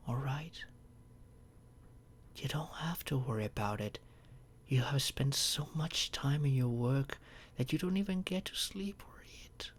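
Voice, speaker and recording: Casting: male actor as gentle, protective boyfriend for ASMR roleplay; unhurried, soothing, breathy delivery, slight background noise. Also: medium pitch, natural voice. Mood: tender, pleading. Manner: soft, soothing, often dropping into breathy whispers. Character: tender, protective boyfriend soothing his overworked partner to sleep.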